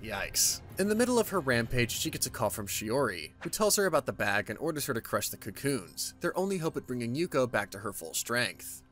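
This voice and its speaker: A man's voice: mid-range voice, very animated, brisk, few pauses, a bit bright, faint music behind. A man narrating a scripted story recap in a casual, conversational way with wry asides.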